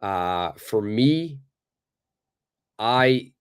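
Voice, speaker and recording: A male native English speaker teaching a live online English lesson, answering viewers' questions in a relaxed, chatty way with lots of fillers. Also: fairly low pitch, drawn-out words, smooth. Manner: relaxed and conversational, many fillers, acts out little examples.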